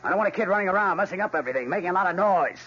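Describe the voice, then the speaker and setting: A domineering American husband in his forties in an old radio play. He is blunt and impatient, with a husky, fairly high voice that swings widely. The recording is dull. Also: lively intonation, racing, bright, forceful tone, full character voice. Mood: angry, adamant. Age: 40s. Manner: curt, dismissive, blunt. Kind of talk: vintage radio drama acting.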